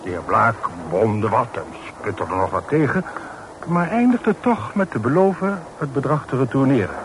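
A man's voice: mid-range voice, very animated, normal speed, lightly acted. An actor in a Dutch radio play, playing an earnest, deferential townsman who recounts things formally and politely. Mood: earnest, indignant. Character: earnest, deferential townsman reporting troubling news to a gentleman. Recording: noisy old radio play recording.

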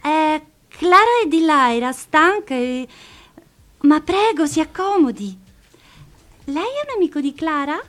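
A woman's voice: high-pitched, sing-song, a little slow, pauses often, a bit bright, heavily acted. A woman playing Gina, a scheming woman who coaxes and reassures too sweetly, in a radio play. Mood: sly. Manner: coaxing, falsely reassuring. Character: scheming woman Gina, sly and overly solicitous. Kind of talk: radio drama acting.

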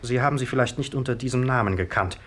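A male actor as a young police sergeant in a vintage radio mystery. He speaks at a medium pitch, with formal courtesy and brisk official authority. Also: fairly bright tone, close to an everyday voice. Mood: official, grave. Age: young adult. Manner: polite, formal, measured. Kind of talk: 1950s radio play acting.

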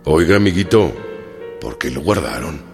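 An actor playing a humble, earnest jailed revolutionary general in a radio drama, respectful and plain-spoken. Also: male voice, rumbling low, animated, moderate pace, gravelly, exaggerated voice. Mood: grateful, earnest. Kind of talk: historical radio drama acting. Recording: long hall reverb, music underneath.

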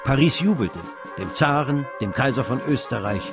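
A man's voice: mid-range voice, expressive, normal speed, gravelly, music in the background. A man narrating a literary radio drama as an eloquent storyteller. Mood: sweeping, vivid narration. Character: eloquent storyteller chronicling a financial frenzy.

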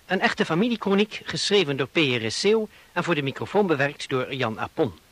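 A man's voice: on the high side, big pitch swings, a little fast, fairly bright tone, no room sound. A man announcing formally and with careful enunciation, in the style of 1960s radio credits.

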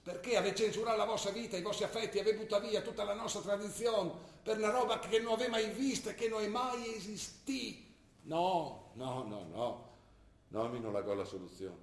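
A man's voice: high voice, brisk. An older man playing an ill, worried father who lectures and pleads with his daughter, in an earnest manner. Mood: grave. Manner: earnest, lecturing, rising to anguished pleas. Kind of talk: stage drama acting. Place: theatre stage. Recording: roomy stage recording.

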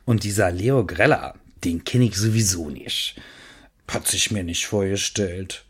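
Husky, evasive man. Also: deep voice, a little slow. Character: evasive, casually defensive man denying involvement. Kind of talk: satirical radio play monologue.

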